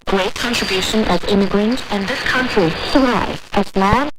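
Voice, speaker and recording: A woman's voice: mid-range voice, moderate pace. A woman from China with a Chinese accent, answering interview questions earnestly about her factory. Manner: earnest, slightly non-native phrasing. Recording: off-air shortwave radio with heavy noise.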